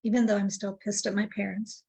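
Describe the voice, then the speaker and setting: Gravelly, husky woman in her sixties. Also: mid-range voice, level tone, fairly quick, warm tone, rough recording. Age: 60s. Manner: candid, reflective, repeats phrases back. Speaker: client in a tapping session. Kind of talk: echoing affirmations after the practitioner.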